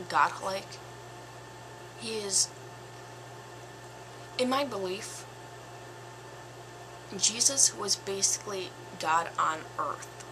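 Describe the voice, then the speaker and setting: A woman in her twenties with a slightly raspy voice, giving her opinion in a casual vlog, slow and thoughtful with pauses and fillers. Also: lowish voice, noisy background. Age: 20s. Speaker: vlogger.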